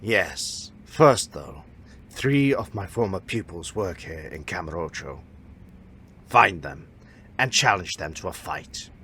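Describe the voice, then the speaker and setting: A man playing a stern older martial arts master in an audio drama, measured and authoritative as he instructs. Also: sits low, animated, sharp tone, slight background noise, heavily acted.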